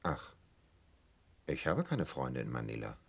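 Husky, whining, volatile barkeeper. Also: male voice, a bass voice, huge pitch swings, unhurried, exaggerated voice. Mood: servile, wary. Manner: whining, self-pitying, ranting. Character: whining, resentful hotel barkeeper, obsequious yet vengeful man. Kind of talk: German radio drama acting.

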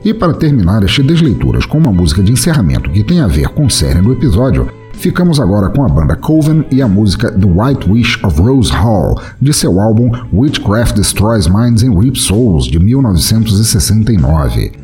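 A man's voice: lowish voice, sing-song, normal speed, warm tone. A man with a Brazilian accent hosting a podcast in a chatty, effusive, informal way, reading and answering messages. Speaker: podcast host. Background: music bed.

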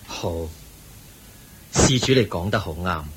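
The Buddha, slow and low. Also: male voice, expressive, very noisy. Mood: serene. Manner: gentle, patient, measured preaching. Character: calm compassionate male Buddha teacher. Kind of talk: Buddhist radio drama acting.

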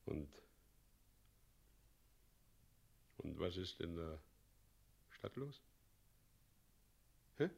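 An actor playing a pompous, bullying, gloating tyrant president in a German radio drama, with an imperious, mocking manner. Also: male voice, sits low, big pitch swings, slow pace, gravelly, darkish tone, heavily acted. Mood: curt, probing. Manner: imperious, mocking, abrupt commands.

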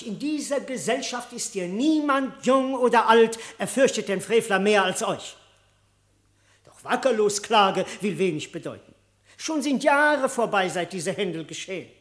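High-voiced, haughty tomcat. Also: male voice. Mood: indignant. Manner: indignant, self-important. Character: haughty, angry tomcat courtier. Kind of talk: literary radio drama, verse declamation.

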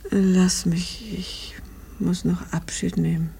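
An actress playing a devoted, grieving middle-aged wife in a 1950s German radio drama, her voice breathy and hushed, her phrases halting. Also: fairly low pitch, flat delivery, a little hiss or hum. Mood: numb grief. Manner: slow, halting, repeating phrases.

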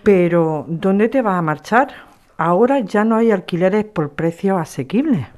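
Actress as young female activist, frank, insistent, direct, audio drama, medium pitch. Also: animated, unhurried, a little mellow, close to an everyday voice. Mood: concerned, firm. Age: young adult. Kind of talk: scripted audio drama acting.

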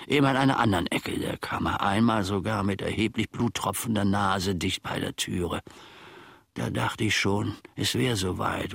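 A wistful old manservant in a radio play, looking back with bitter self-mockery. The voice is husky and lowish, picked out close and dry, and it broods slowly through long pauses. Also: male voice, a bit bright, no room sound, full character voice. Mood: bitter, mournful. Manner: ruminative, dramatic, halting delivery with long pauses. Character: melancholy, wryly self-mocking old manservant looking back on his life.

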